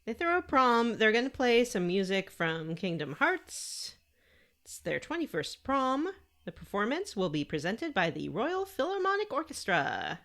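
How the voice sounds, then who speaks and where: A woman's voice: a little high, big pitch swings, a little slow, fairly bright tone. A woman co-hosting a casual podcast, chatting and reading out gaming news. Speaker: podcast co-host.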